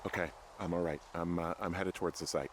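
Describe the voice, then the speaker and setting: An actor playing a weary, anxious fire lookout in a video game, wry and blunt, speaking in a halting, exasperated, natural way. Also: male voice, sits low, fairly even intonation, a little hiss or hum, lightly acted. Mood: shaken. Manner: halting, exasperated, plain-spoken.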